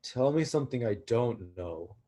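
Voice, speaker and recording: A man playing an awkward, downcast young god of destruction in a staged reading, husky and hesitant. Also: mid-range voice, lively intonation, normal speed, warm tone, close to an everyday voice. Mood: flustered. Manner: hesitant, self-conscious. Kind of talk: live staged play reading.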